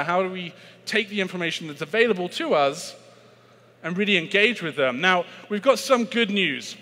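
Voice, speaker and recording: A British man delivers a conference keynote in a bright, forceful voice pitched a bit high. He is chatty and self-deprecating, stumbling into fillers and restarts. A long reverb trails his words around the large hall. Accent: British English. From Britain. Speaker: keynote speaker on sales.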